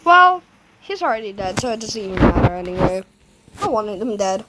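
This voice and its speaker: A woman's voice: mid-range voice, sing-song, brisk, heavy background noise. A girl narrating an improvised toy story, chatty and rambling, sick today. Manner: rambling, self-correcting, chatty. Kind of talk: casual improvised play-acting.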